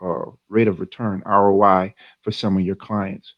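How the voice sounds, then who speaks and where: Male podcast co-host, low-pitched, relaxed and rambling; dry, dull lo-fi audio. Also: normal speed, dry recording. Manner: easygoing, chatty, long rambling questions. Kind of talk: casual interview hosting.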